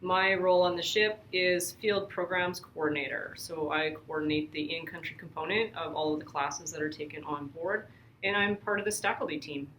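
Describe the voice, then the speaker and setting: A woman from Canada, a field programs coordinator, explaining her work in a brisk, emphatic way. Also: low voice, fairly even intonation, fairly bright tone, a little hiss or hum, rough recording. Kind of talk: casual podcast interview.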